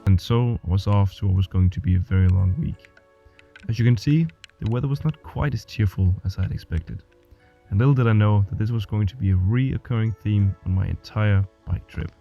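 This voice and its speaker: Low-voiced Danish man narrating, dry humor. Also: fairly quick, vocal fry. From Denmark. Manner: dry, self-mocking humor. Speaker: vlogger narrating his bike trip. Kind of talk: scripted voice-over narration. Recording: dull, dry voice-over with faint music.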